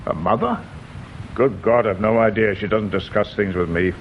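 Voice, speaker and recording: A man's voice: lowish voice, moderate pace, lightly acted. An actor playing an indignant, guarded older English father in a radio mystery drama, blustering and hesitant when pressed. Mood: uneasy, confiding. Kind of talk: British radio drama acting. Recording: dull radio recording, noticeable background noise.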